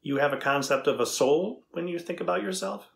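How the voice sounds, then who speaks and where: A middle-aged man playing an earnest, curious AI researcher in a dramatized reading, asking questions deliberately. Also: a little high, creaky.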